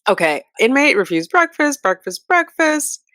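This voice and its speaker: A woman's voice: high voice, very animated, moderate pace, bright, forceful tone, dry recording, studio quality. An American woman hosting a commentary channel, reading reports dramatically and breaking into sassy, conversational asides.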